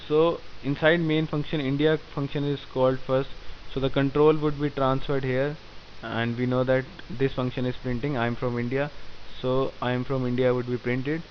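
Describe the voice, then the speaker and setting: A man's voice: mid-range voice, little pitch movement, moderate pace. A man teaching programming in a screen-recorded tutorial, explaining code step by step in a methodical way. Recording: dull lo-fi sound with faint hiss.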